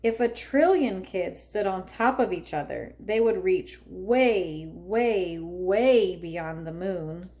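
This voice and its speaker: A woman reading a children's picture book aloud expressively, with deliberate phrasing and drawn-out emphasis. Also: fairly low pitch, dark tone. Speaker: read-aloud narrator for children.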